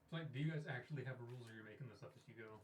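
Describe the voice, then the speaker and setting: A man's voice: mid-range voice, rapid-fire, a little hiss or hum. A man talking casually as a player in a live tabletop game. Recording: echoey room, distant microphone.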